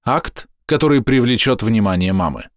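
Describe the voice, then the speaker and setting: A man reading a scripted explanatory voice-over in measured, deliberate short phrases, close to the microphone. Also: on the low side, animated, dry recording. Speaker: narrator.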